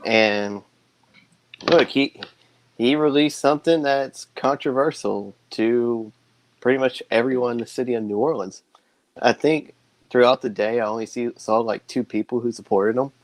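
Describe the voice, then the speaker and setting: A man co-hosting a casual sports podcast, speaking in a relaxed, drawn-out way. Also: medium pitch, no echo, rough recording. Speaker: podcast co-host.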